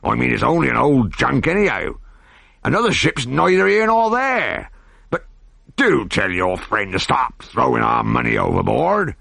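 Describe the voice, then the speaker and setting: A man's voice: mid-range voice, sing-song, normal speed, gravelly, bright, forceful tone, slightly rough, no echo, pitched above the performer's own voice, heavily acted. A man voicing a greedy pirate captain who blusters, gloats and pleads frantically. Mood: frantic.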